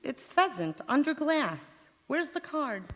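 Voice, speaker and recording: A woman's voice: sits high, very animated, a little slow, fairly bright tone, in a large hall, heavily acted. A woman playing a flustered, exclamatory 1940s housewife in a radio sitcom, performed live on stage. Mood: gushing, grateful. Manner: theatrical, exclamatory.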